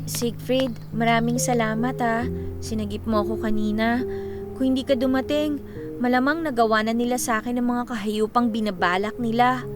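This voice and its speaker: A high-pitched, feisty young heroine, acted by a woman, spirited and earnest in radio drama style. Music plays clearly behind her voice. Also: steady tone, normal speed, close to an everyday voice. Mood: caring. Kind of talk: Filipino radio drama acting.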